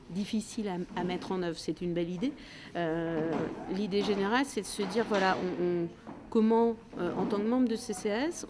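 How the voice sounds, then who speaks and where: A woman speaking as a local official in an unscripted way, searching for words and restarting her phrases. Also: medium pitch. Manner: hesitant, searching for words, repeating phrase starts. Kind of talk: meeting talk and interview, unscripted.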